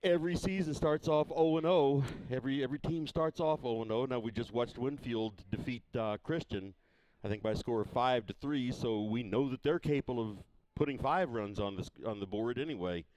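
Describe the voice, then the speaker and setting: A man calling a high school baseball game from the press box, his voice smooth and resonant. He talks in a folksy, easygoing way, circling back and restarting his sentences. The sound is dry and close. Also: darkish tone, no room sound, poor audio. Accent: Missouri. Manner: folksy, unhurried, many fillers and restarts. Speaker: play-by-play broadcaster. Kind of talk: casual local sports broadcast.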